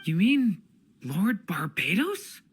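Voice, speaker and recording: A man voicing a polite, self-assured young male character in an animated game, composed and lightly wry. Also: sits high, takes it slowly, husky, exaggerated voice.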